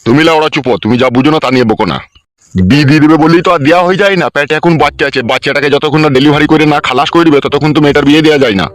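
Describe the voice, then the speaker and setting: Man acting a fast-talking bossy village uncle. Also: on the high side, close to an everyday voice. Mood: brash, exasperated. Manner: scolding, boastful, hectoring. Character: bossy, crafty, foul-mouthed village uncle and power broker. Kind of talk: amateur comic cartoon dialogue.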